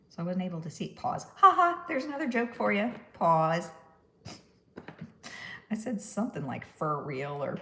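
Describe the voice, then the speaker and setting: A woman's voice: sits low, gravelly, a bit bright. A woman from New York with a Texan accent, chatting casually through a crafting livestream, rambling and laughing at her own puns. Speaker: stop-motion puppet maker.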